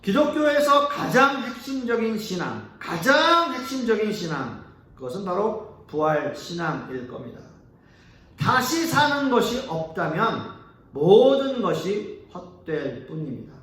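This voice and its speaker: A man preaching a sermon as pastor. He talks earnestly, rises on key words, and lets his sentences trail off soft and low. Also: big pitch swings, measured pace. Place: church sanctuary. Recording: echoey church hall, mic at a distance.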